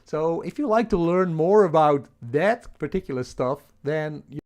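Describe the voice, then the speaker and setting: A man's voice: on the high side, lively intonation, measured pace, a little mellow. Dutch-accented man chatting on a livestream. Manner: rambling, restarts, chuckles, asks the chat. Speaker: livestream host. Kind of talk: casual livestream chat.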